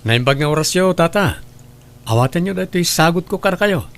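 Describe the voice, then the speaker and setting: Polite young Filipino boyfriend acted by a man, gravelly. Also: a little high, animated, normal speed. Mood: courteous. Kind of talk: amateur comedy drama acting.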